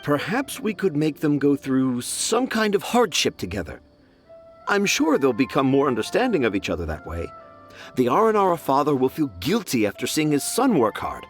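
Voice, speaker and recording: A gentle middle-aged father, calm and sincere, with a wide-swinging, expressive delivery. Soft music sits underneath. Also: medium pitch, normal speed.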